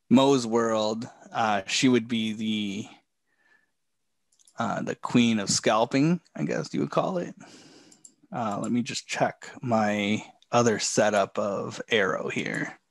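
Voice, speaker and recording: A man coaching a live trading session from a home office, talking in a relaxed way with many fillers, a bit hoarse and often clearing his throat. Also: fairly low pitch, slow, no echo. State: losing his voice.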